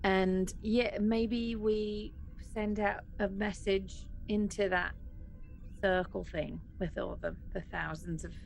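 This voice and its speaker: A woman's voice: mid-range voice, fairly even intonation, slow pace, creaky, soft background music, rough recording. A woman playing a tabletop role-playing game, chatting casually and thinking aloud in a hesitant way. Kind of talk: casual, improvised game chat.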